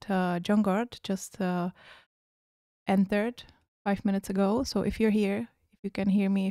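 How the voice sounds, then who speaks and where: A woman's voice: mid-range voice, warm tone, no echo. Hesitant woman livestream host, dry sound. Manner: hesitant, many fillers. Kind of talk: casual livestream chat.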